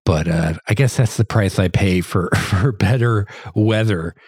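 Casting: male solo podcaster, a bit hoarse, lowish voice, dry close-mic sound, easygoing and rambling. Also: unhurried, no room sound. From American Midwest. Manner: relaxed, chatty, with frequent fillers and repeated words. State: slightly hoarse from allergies. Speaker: podcast host. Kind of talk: casual solo podcast monologue.

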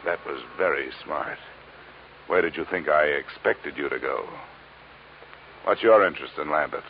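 An actor playing a tough, sardonic American insurance investigator, wry and blunt, in a vintage radio detective drama. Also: male voice, rumbling low, moderate pace. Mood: wry, defiant. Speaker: lead detective and narrator. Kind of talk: 1950s radio detective acting. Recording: muffled, hissy old radio recording.

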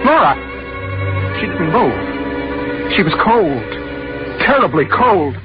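Anxious husband, wildly swooping 1940s radio voice. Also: male voice, high-pitched, big pitch swings, heavily acted. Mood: shocked, distraught. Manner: stammering, overwrought, halting. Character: anxious, remorseful husband confessing a murder plan. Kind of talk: 1940s radio acting, first-person suspense narration. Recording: dull 1940s radio recording, loud music.

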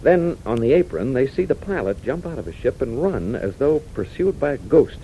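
An American man announcing a 1940s radio adventure serial for young listeners, excitable and dramatic. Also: medium pitch, moderate pace. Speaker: announcer and narrator. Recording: muffled, noisy 1940s radio recording.

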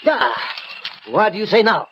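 Excitable French police prefect, self-satisfied and pompous, high voice, muffled noisy old radio drama recording. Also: male voice, normal speed. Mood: triumphant. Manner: pompous, triumphant, exclamatory. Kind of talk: 1960 radio mystery acting.

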